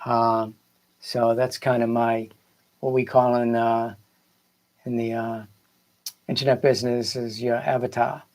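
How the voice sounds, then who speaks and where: A man's voice: mid-range voice, little pitch movement, measured pace, pauses often, a little mellow. Earnest Boston man, rambling motivational talk. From Boston area, United States. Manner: earnest, rambling, repeats phrases for emphasis. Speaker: spiritual coach hosting a livestream. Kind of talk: casual motivational livestream talk.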